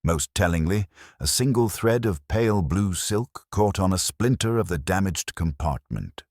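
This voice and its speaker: A man reading a scripted mystery story as narrator, in a measured, even-paced way. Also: low-pitched, no echo, studio quality.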